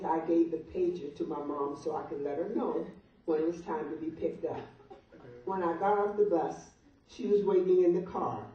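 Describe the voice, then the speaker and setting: An older woman reads a humorous open letter out loud to a gathering. She is heard from some way off in a strongly echoing room. The sound is muffled, as if caught by a distant microphone. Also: on the low side. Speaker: guest reader at a birthday party. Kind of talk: reading a humorous open letter aloud to guests. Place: large echoey room at a birthday party. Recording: muffled, very echoey room, distant mic, noise.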